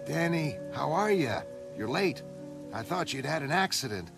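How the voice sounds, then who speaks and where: Man voicing a chatty older man, raised pitch. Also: big pitch swings, moderate pace, creaky. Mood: welcoming. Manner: chatty, familiar. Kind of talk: motion comic character acting. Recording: music behind the voice.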